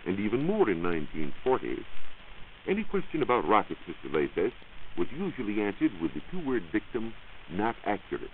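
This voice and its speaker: An American man giving a measured, explanatory science talk on 1940s radio as a reporter. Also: sits low, phone-quality sound. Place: radio studio. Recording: hissy band-limited 1940s radio recording.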